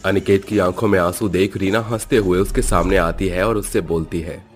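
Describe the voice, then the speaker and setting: A man's voice: low-pitched, steady tone, normal speed, faint music behind. A man narrating a scripted horror cartoon story in a dramatic storytelling style.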